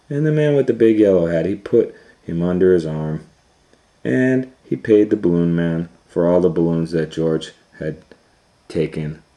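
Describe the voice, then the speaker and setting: A man with a low, very mellow voice hosts a children's storytime. He tells the tale at an easy, slightly slow pace, acting up the drama and slipping in asides to his young listeners. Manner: dramatic, hushing to near whispers and swelling with excitement. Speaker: storytime host and reader. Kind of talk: animated children's story read-aloud.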